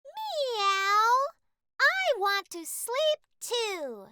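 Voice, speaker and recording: Lady doing a sleepy kitty for a kids book play, really high and bright. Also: big pitch swings, extremely slow, no echo, studio quality, exaggerated voice. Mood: sleepy, pleading. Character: sleepy meowing storybook cat.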